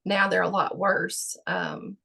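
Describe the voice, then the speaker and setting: Gravelly-voiced woman, halting answers. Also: lowish voice, level tone, bright, forceful tone. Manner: halting, many fillers and restarts. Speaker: patient guest. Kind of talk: personal interview answers.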